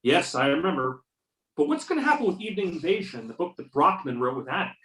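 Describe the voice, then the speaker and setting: A man's voice: on the high side, sing-song, fast, fairly bright tone. A man reading a weary, wry federal UFO investigator in amateur readers theater, with a dry, matter-of-fact delivery. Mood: casual, weary. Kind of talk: amateur readers theater over a remote call. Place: remote video or phone conference. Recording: roomy, distant call microphone.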